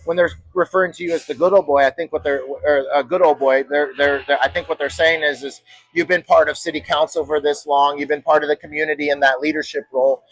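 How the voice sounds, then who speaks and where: A man's voice: fairly high pitch, fairly even intonation, quick pace, dry recording, poor audio. A man of forty, from Omaha, who works as a chef, explaining things at length and repeating himself in a casual interview. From Omaha, Nebraska. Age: 40s.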